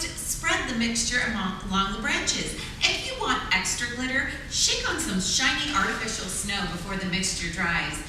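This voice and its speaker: A woman playing a cheery 1940s radio pitchwoman giving scripted homemaking tips. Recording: distant, echoey, noisy hall.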